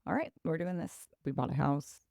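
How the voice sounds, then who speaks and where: Woman, podcast host, from Ecuador, mellow, reflective, halting, dry sound. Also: sits low, big pitch swings, vocal fry, no room sound. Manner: reflective and halting, with pauses and restarts. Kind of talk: personal storytelling.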